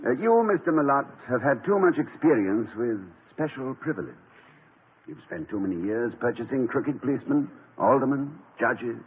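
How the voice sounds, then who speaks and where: An actor playing an erudite, dignified college president who speaks formally and with dry wit in a 1950s radio drama. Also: male voice, mid-range voice, expressive, a little hiss or hum, lightly acted. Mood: stern, measured. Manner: formal, measured, dryly witty. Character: erudite, dignified, wry college president, middle-aged man. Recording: dull lo-fi old radio broadcast.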